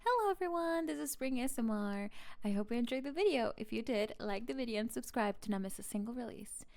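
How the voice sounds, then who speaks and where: A woman speaking quietly as an ASMR channel host, reading a scripted outro. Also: fairly high pitch, animated, fast, vocal fry.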